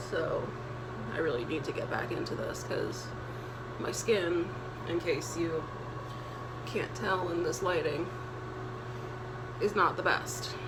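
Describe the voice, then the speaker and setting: A young woman with a deep voice for a woman, chatting candidly and plainly while getting ready at home. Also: noisy background. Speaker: vlogger. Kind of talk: casual get-ready-with-me chat.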